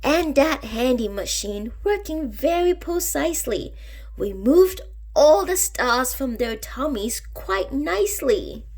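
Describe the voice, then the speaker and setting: A woman reading a rhyming picture book aloud for children, with expressive, dramatic emphasis. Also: high-pitched, slow pace. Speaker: children's storybook reader.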